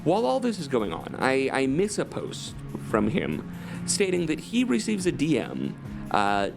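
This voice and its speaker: A man's voice: medium pitch, wildly swooping pitch, measured pace, creaky. A man narrating a commentary video as an essayist, laying out his account of events. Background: music.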